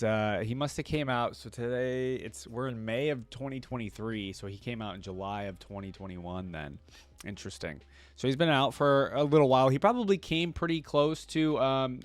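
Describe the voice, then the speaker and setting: A man's voice: mid-range voice, no room sound. A man giving casual reaction commentary as a video host, rambling and thinking aloud.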